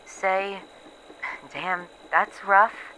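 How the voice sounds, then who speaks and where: A woman playing a weary, haunted researcher who is losing her memories, in a halting, introspective horror audio roleplay monologue. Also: mid-range voice, fairly even intonation, sharp tone, slight background noise, rough recording. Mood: wistful, troubled. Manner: halting, introspective, pausing between short phrases.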